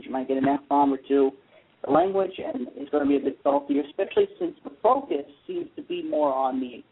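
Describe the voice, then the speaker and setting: A husky-voiced man with a slightly high pitch gives wry, opinionated takes on a talk show. He chats casually, like a devoted fan. The sound is narrow and tinny, like a phone call. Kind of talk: casual soap opera fan chat.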